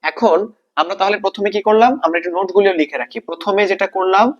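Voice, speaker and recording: A man's voice: high-pitched, fairly even intonation, fast, lo-fi sound. A man with a Bangladeshi accent teaching an exam-prep grammar lesson, repeating key points and asking checking questions.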